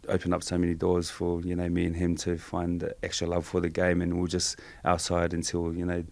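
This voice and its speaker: A Samoan-born man, a Samoan speaker, answering interview questions softly and hesitantly with many fillers. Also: sits low, monotone, fairly quick, gravelly, no echo. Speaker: guest.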